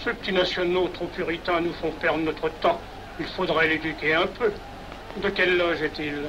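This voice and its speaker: An older actor playing a condescending, calculating freemason power broker in a 1940s film. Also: male voice, fairly high pitch, steady tone, normal speed, muffled recording, close to an everyday voice. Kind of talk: 1940s film acting, conversational. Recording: noisy old 1940s film soundtrack.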